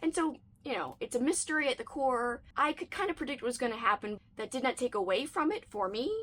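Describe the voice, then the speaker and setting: A woman with a fairly high voice talks through her monthly reading in a chatty, enthusiastic way, tossing in playful asides. Her voice is a little worn. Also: fairly bright tone. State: slightly losing her voice. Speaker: book reviewer. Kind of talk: casual reading wrap-up chat.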